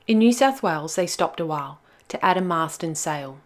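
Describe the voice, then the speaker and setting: A woman's voice: on the low side, expressive, normal speed. A woman storyteller reading a rhyming children's picture book aloud with expression.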